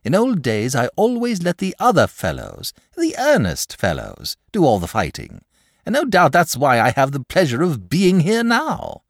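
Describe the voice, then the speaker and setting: A gentle, cowardly storybook dragon, voiced by a man reading aloud. He drawls lazily and solemnly, and his pitch rises and falls widely. The recording is dry and close. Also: huge pitch swings, moderate pace, no room sound, heavily acted. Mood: lazy.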